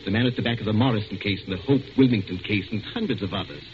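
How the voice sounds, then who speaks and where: An actor playing an urbane, witty English aristocratic detective in a 1940s radio drama, with a breezy, ironically polite British manner. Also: male voice, lowish voice, racing, natural voice. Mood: breezy, wry. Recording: muffled, noisy 1940s radio recording.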